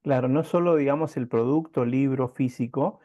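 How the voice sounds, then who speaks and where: A man's voice: mid-range voice, moderate pace, warm tone, no echo. A man hosting an interview in a conversational style, recorded with dull, lo-fi call-like audio. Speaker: interview host.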